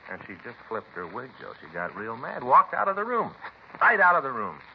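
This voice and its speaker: A muffled old radio recording with some hiss of a folksy American cop. His low, gravelly voice rambles along, plaintive and aggrieved, in 1950s radio drama style. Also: huge pitch swings, a little fast. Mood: wounded, subdued. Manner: folksy, long-winded, plaintive. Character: fretful, rambling married male police detective, aggrieved sidekick.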